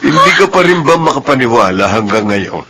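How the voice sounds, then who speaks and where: A man's voice: mid-range voice, very animated, brisk, heavy background noise. A man playing a devout, grateful, earnest father in a Filipino radio drama.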